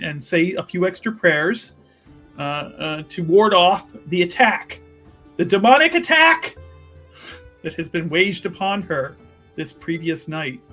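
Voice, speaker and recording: This is a man with a fairly high voice who talks very slowly. He halts and rambles mid-thought as he casually sets out his tabletop character, with music playing behind him. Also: a bit bright. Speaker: tabletop roleplaying player. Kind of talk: casual character introduction for an actual-play stream.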